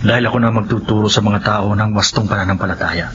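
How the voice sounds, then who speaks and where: A man's voice: lowish voice, fairly even intonation, fast talker, natural voice. An actor playing a solemn resurrected man who preaches and heals with grave certainty, in a Filipino radio drama. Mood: zealous, certain. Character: solemn resurrected husband turned self-assured preacher and healer. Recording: dull, noisy radio drama audio.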